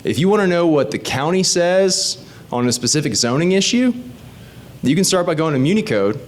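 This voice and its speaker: A man's voice: fairly high pitch, animated, moderate pace. A man, a state legislative candidate giving an informal, explanatory talk to a community group and asking the audience questions. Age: 30s. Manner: conversational and explanatory, often asks the audience questions.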